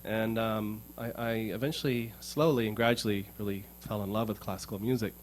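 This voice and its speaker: A man's voice: lowish voice, fairly even intonation, fairly quick, slight background noise. A man from the United States telling a casual, rambling story between songs as a guitarist, earnest and prone to repeating words as he thinks. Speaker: guitarist performing for an audience. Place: music showroom.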